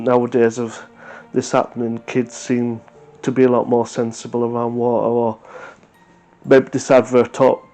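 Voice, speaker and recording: A man's voice: mid-range voice, warm tone. A man hosting a reaction video, giving a casual, unscripted review in a hesitant, rambling, matter-of-fact way. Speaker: YouTube reaction host.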